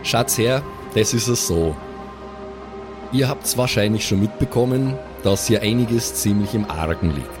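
A man's voice: on the low side, lively intonation, a little slow. A man acts out an unfriendly Austrian camper in an improvised role-play audio drama. He grumbles in blunt, colloquial phrases, with prominent music underneath. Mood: grave, grumbling. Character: grumpy, unfriendly Austrian festival camper Johann.

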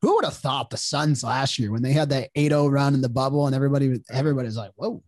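A man's voice: mid-range voice, fast talker, dry recording, clean studio sound. A man hosting a sports podcast, talking casually with a nasal, congested voice from a cold. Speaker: podcast host.

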